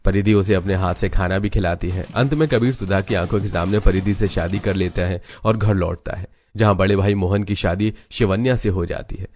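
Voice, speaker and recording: A man giving scripted narration for an animated family story. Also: sits low, brisk, few pauses, a little hiss or hum.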